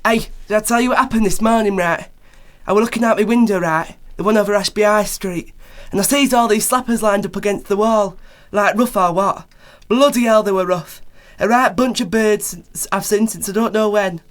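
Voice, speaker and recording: A man's voice: high-pitched, normal speed, natural voice. Rambling, coarse bloke, acted by a man. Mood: gossipy, blunt. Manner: rambling, colloquial, full of tag questions. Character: Thrombotic Bert, coarse chatty working-class man, gossipy joker. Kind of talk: radio play character acting.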